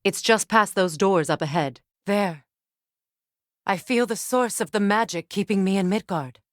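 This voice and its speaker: A woman's voice: medium pitch, a little slow, no room sound, clean studio sound, lightly acted. An actress playing a stern, proud warrior goddess, a battle-hardened mother with a commanding, curt manner, in a fantasy video game. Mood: brisk, guiding. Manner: commanding, curt, sharp-tongued. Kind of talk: dramatic fantasy game dialogue.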